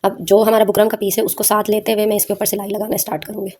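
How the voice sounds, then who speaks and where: A woman's voice: medium pitch, little pitch movement, very fast, dark tone, slight background noise. A woman with a sore throat, explaining a craft step by step in an informal, conversational way to viewers. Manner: conversational, addresses viewers with frequent check-in tags. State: sore throat, frequent throat clearing. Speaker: sewing tutorial host.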